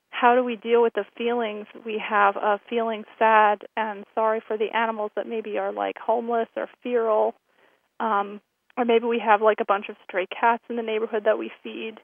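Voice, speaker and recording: A woman hosting a radio show, hoarse from a cold, giving friendly announcements with many fillers. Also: fairly high pitch, level tone, moderate pace, no echo. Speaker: host. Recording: thin phone-line sound.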